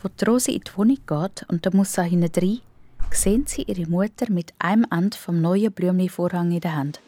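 A woman narrates a children's story in a scripted storytelling style. Her accent is Swiss German and her voice sits slightly on the low side. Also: fairly quick, mellow, dry recording.

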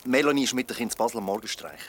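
A man's voice: mid-range voice, animated, racing, a bit bright, lightly acted. A man playing a laid-back, teasing friend with a Swiss German accent in a radio play. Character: laid-back married man teasing late-night companions. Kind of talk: naturalistic radio play dialogue.

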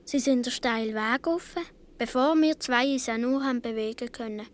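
A woman's voice: on the high side, a little fast, close to an everyday voice. A woman playing a seven or eight year old Swiss boy, telling his story earnestly with a Swiss German accent in a radio play. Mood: earnest, tense.

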